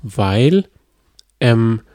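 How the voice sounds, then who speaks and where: A man's voice: mid-range voice, big pitch swings, extremely slow, darkish tone, no echo, studio quality. A man co-hosting a podcast in casual chat, eagerly retelling a plot and often restarting his sentences. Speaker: podcast co-host.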